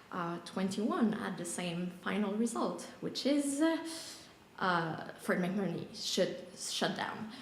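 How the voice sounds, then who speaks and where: A woman's voice: on the low side, slight background noise. Woman presenting in a roomy hall. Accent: French. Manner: hesitant, many fillers. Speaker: panel presenter. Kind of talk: conference panel presentation. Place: large event room. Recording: roomy hall with faint ambience.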